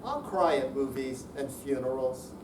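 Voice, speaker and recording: A man's voice: mid-range voice, lively intonation, measured pace. An older man giving a dramatic amateur reading of humorous verse at a live performance. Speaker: amateur readers theater performer. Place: indoor senior center room, live performance. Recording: roomy, distant mic, noisy hall.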